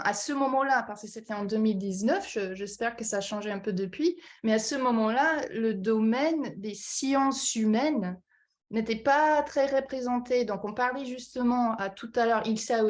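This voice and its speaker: Give me a woman who speaks French as a second language, careful and a little high-pitched. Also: normal speed, darkish tone. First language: not French. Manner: careful, reflective, some restarts. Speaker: guest, past contest laureate. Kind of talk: online webinar discussion. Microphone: video call.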